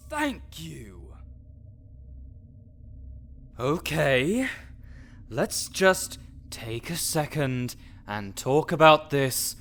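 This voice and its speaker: A man's voice: fairly high pitch, a bit bright, slight background noise, exaggerated voice. A man playing a grouchy, fussy necromancer researcher in an audio roleplay, sarcastic and wordy as he speaks to the listener. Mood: indignant, outraged, then strained calm.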